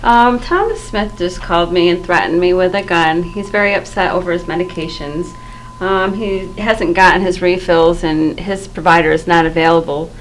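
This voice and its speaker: A woman's voice: fairly low pitch, fairly even intonation, moderate pace, slight background noise, natural voice, poor audio. A woman playing an earnest, flustered medical office staffer in a training role-play, speaking politely with some hesitation. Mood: worried.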